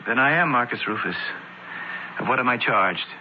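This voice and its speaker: A man's voice: medium pitch, lively intonation, normal speed, natural voice. Actor as an anguished accused man, muffled radio drama. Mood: dazed, shocked. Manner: earnest, formal, theatrical diction. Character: bewildered, anguished young man accused of murder. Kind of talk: old-time radio drama acting. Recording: muffled, hissy old radio recording.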